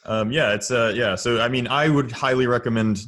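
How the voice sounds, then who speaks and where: A man's voice: medium pitch, fairly even intonation, brisk, a bit bright. An American man chatting casually as a podcast guest who makes audio drama. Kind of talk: casual chat.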